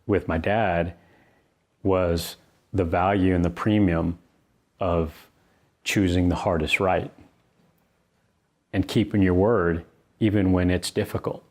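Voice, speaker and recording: A middle-aged man reading his own book, telling a personal story in an earnest, conversational way with thoughtful pauses. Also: sits low.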